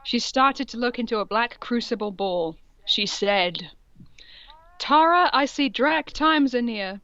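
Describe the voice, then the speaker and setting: A woman's voice: fairly high pitch, expressive, measured pace, a bit bright, muffled recording. A woman co-hosting a podcast, reading fan fiction aloud in a mock-dramatic way and breaking off for amused asides. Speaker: podcast co-host.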